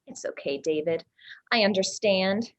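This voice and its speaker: A woman playing a mean, sweetly mocking young gothic sister in a theatrical script reading, with drawn-out delivery. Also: mid-range voice, close to an everyday voice. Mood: mock sweetness.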